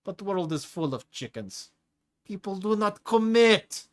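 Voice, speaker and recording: A man's voice: mid-range voice, expressive, unhurried, close to an everyday voice. A man voicing a hulking, clawed male prisoner who talks in broken English with a thick accent and a boastful swagger. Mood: exuberant. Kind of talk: audiobook character voice.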